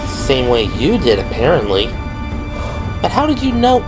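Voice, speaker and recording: A man's voice: mid-range voice, wildly swooping pitch, measured pace, over music, poor audio. A man voicing a wry, wary young female police officer with dry sarcasm in an audio drama. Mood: surprised.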